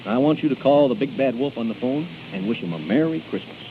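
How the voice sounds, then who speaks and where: A man's voice: medium pitch, lively intonation, fast, noisy background. A man hosting in 1930s radio style, theatrical as he moves between comic banter and announcing. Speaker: radio show host and announcer. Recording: tinny 1930s radio broadcast, loud music.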